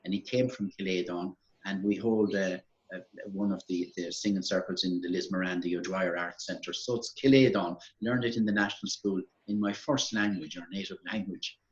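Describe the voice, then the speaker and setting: Older man, Irish accent, low voice, encouraging, roomy, muffled. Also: fairly even intonation, moderate pace, hardly any pauses. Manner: gentle, encouraging, rambling with fillers. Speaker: music circle leader. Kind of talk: relaxed conversation. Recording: roomy, dull recording.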